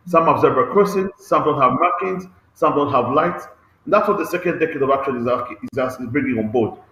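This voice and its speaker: A man's voice: a little high, a bit bright, muffled recording. Man explaining in a roomy echo. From Kenya. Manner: adds checking "okay?" tags, earnest explaining. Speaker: transport agency head on a panel. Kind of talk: online panel discussion.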